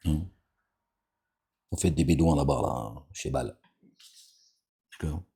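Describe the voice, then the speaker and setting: A man with an extremely low, deep voice preaches in an offhand, rambling way. He keeps breaking off with fillers and asides to the people listening. Between readings he admonishes them with stern warnings. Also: slow, gravelly, dark tone. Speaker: religious commentator reading scripture. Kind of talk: informal spoken sermon with scripture reading.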